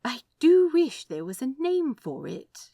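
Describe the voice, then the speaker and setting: A woman plays a prim male angel from a storybook, fretful and earnest. The pitch is lifted and swoops with curiosity. The phrasing is polite, fussy and hesitant, in a dry, close recording. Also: sing-song, unhurried, mellow, no echo, heavily acted. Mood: relieved, eager.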